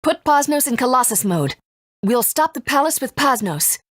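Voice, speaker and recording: A woman voicing a gentle, earnest mother and resistance fighter in a video game, soft and breathy, speaking in a measured, tender way. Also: high-pitched, big pitch swings, no echo, clean studio sound, heavily acted. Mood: commanding. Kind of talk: English video game voice acting.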